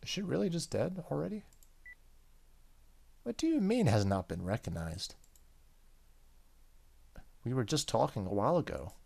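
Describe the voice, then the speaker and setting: A man's voice: medium pitch, sing-song, moderate pace, vocal fry, long pauses, darkish tone, breathy edge. A man hosting a gaming video, reading story narration aloud and adding casual, joking asides. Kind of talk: let's play commentary, reading game narration aloud.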